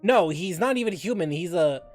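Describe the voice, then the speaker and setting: Animated woman streamer reacting to a game. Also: on the low side, normal speed, faint music behind. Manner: sweary, reactive. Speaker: gaming streamer. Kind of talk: casual game playthrough commentary.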